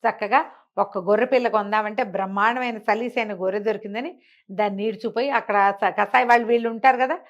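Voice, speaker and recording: A woman's voice: mid-range voice, fairly even intonation, fast talker, highs cut off. A woman telling folk tales conversationally, explaining as she goes and posing rhetorical questions. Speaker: storyteller.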